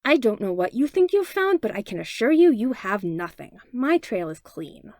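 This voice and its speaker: A woman's voice: fairly high pitch, sing-song, a little mellow, dry recording, studio quality. A woman doing a sickly sweet, fearless lawyer. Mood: cold. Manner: sweet, cutting, slow and deliberate.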